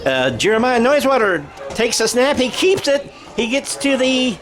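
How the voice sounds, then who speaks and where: Man, radio-style football commentator, high voice, excitable, self-correcting, music clearly behind him. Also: normal speed. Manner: excitable, thinks aloud and corrects himself. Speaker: radio-style play-by-play announcer.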